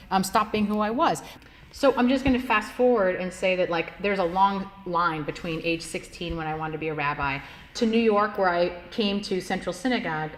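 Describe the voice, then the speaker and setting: A woman rabbi telling her life story in a relaxed, conversational way, with many "like" fillers and easy laughs. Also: lowish voice, slight background noise, rough recording. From United States, Washington State. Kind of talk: personal storytelling talk.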